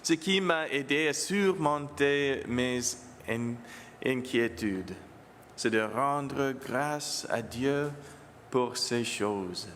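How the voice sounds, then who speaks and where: A priest whose first language is English, speaking French with an English accent, giving a homily to children in a halting manner and slipping English words in. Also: male voice, mid-range voice, fairly bright tone, in a large hall, slight background noise.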